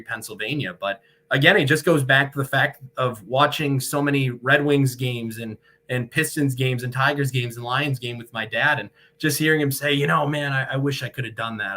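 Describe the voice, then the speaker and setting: A man's voice: mid-range voice, pushed and bright. An American man in his twenties from Michigan, answering interview questions conversationally in an earnest, articulate way with frequent hesitations. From Michigan, United States. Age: 20s. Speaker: sportscaster, interview guest.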